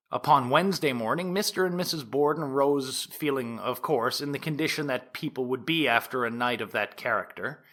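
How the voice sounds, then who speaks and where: A man playing an earnest 1890s prosecutor who delivers a formal courtroom opening to a jury in deliberate, emphatic phrases, as in an audio drama. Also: mid-range voice, fairly bright tone.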